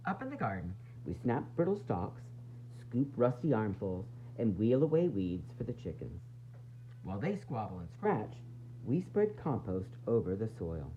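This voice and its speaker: A man reading a children's picture book aloud in an expressive, dramatic, sing-song way, with varied emphasis. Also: on the low side, moderate pace. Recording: dull lo-fi sound, faint noise and hum.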